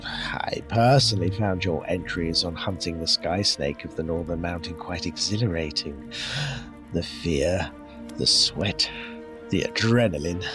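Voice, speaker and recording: Gushing, low-voiced wealthy adventurer. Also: male voice, unhurried, over music. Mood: awed, effusive. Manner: effusive, florid, savoring words. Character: gushing wealthy retired executive, eager first-time adventurer. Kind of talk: audio drama acting.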